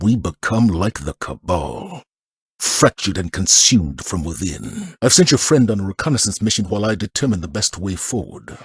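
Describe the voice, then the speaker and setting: A man playing a stern, measured military commander in a sci-fi video game, speaking formally and gravely with weary gravitas and a husky voice. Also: sits low, dry recording, clean studio sound, heavily acted.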